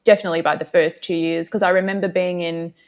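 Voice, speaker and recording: Woman, Australian accent, from South Africa, lowish voice, reflective, lots of ums. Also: flat delivery, quick pace, highs cut off. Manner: reflective, many fillers and hedges. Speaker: guest. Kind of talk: casual interview answers.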